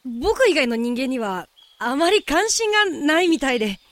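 A woman voicing a gentle, chatty, apologetic young man in a Japanese amateur voice drama. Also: high-pitched, big pitch swings, moderate pace, no room sound.

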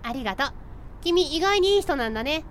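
A woman's voice: high-pitched, lively intonation, quick pace, slight background noise. A woman playing a mischievous, carefree young female ghost, teasing and playful, in an amateur voice drama. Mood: breezy. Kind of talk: Japanese amateur voice drama acting.

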